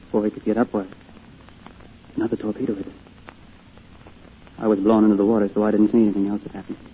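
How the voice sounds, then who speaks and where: An American actor playing a young orphaned sailor, earnest, shy and polite, speaking hesitantly in 1940s radio drama style. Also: male voice, lowish voice, little pitch movement, fast talker, lightly acted. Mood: subdued, grieving. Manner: hesitant, humble, folksy. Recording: tinny, noisy old radio broadcast.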